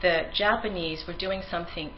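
A woman's voice: lowish voice, fairly even intonation, moderate pace, lo-fi sound, a little hiss or hum. A woman researcher giving a conference presentation in an earnest manner, with frequent ums and restarts; her first language is Tagalog.

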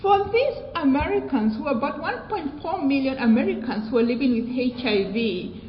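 A woman from Kenya with a British accent, giving a measured academic presentation with fillers and restarts. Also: sits high, expressive. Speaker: research presenter. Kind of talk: academic conference talk. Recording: echoey hall, dull sound, faint noise.

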